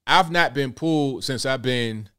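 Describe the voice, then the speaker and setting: A man's voice: mid-range voice, measured pace, a bit bright, smooth. Laid-back man in his late thirties. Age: late 30s. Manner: laid-back, conversational, repeats himself. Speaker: livestream host. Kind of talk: casual talk reading viewer comments.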